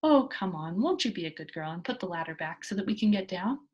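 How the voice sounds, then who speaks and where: A woman's voice: fairly low pitch, animated, fairly quick, darkish tone, husky. A woman voicing a stern, exasperated policeman from a children's storybook, speaking with scolding authority. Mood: coaxing.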